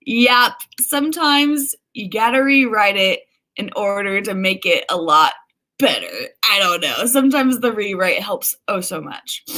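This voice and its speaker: Female livestream host, casual and talkative, average pitch with lots of melodic movement, prone to exclamations and little acted-out asides. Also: bright, forceful tone. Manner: chatty, exclamatory, acts out remarks. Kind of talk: casual livestream chat reading viewer comments.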